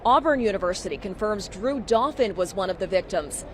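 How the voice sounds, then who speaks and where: A woman's voice: medium pitch, lively intonation, a little fast. A woman working as a TV news reporter, delivering a live field report outdoors on a city street. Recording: outdoor street noise, traffic.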